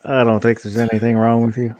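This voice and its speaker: A man's voice: mid-range voice, little pitch movement, fast talker, a little mellow. A man talking casually as a guest dropping in on a livestream. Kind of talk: casual chat.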